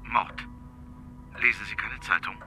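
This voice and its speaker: An actor playing an urgent, hesitant male lawyer, formal and halting, on a telephone call in a radio play. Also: lowish voice, steady tone, pushed and bright, faint music behind. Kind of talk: radio drama phone call.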